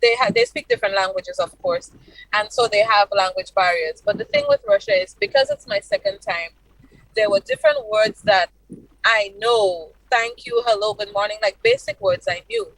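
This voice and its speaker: Grenadian female interview guest, effusive, highly animated intonation, close dry sound. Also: moderate pace, no echo, rough recording. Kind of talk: enthusiastic interview answers.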